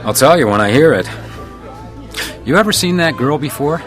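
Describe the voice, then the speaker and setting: A man's voice: fairly high pitch, animated, moderate pace, faint music behind, natural voice. A man playing a composed, probing hotel house detective in a radio drama, with a dry, laconic manner. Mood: cool, probing.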